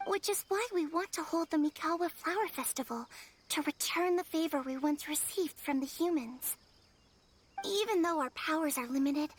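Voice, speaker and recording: A woman's voice: high voice, slight background noise, natural voice. A woman voicing a small, courteous woodland spirit creature in an anime video game, sincere and a little formal. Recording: faint music behind the voice.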